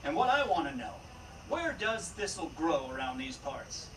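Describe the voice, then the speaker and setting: A man playing a steady, resolute Old West federal marshal in a Western radio drama. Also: fairly high pitch, normal speed. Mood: deductive. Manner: confident, commanding. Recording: distant stage microphone, noisy room.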